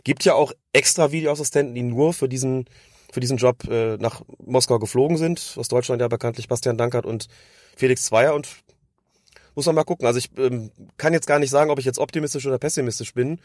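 A man, hoarse from a cold, giving long analytical explanations as a podcast co-host. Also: mid-range voice, fairly quick, darkish tone, no echo, studio quality. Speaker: podcast co-host discussing refereeing. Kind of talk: casual podcast chat.

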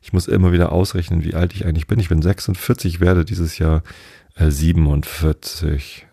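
Guy in his 40s, dark gravelly voice, dry close mic, meandering sleepy chat. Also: deep voice, steady tone, dry recording. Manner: slow, rambling, many hesitations. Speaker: podcast host. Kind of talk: calm bedtime podcast chat.

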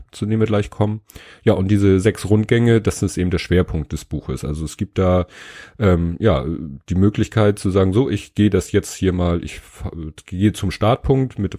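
A man in his thirties with a cold hosts a solo book podcast, his voice hoarse and very low, with a mellow, dark tone. He rambles casually, stopping often for fillers and restarting his sentences. The sound is close and dry. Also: no room sound. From Hamburg, Germany. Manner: rambling, many fillers and self-corrections. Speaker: podcast host.

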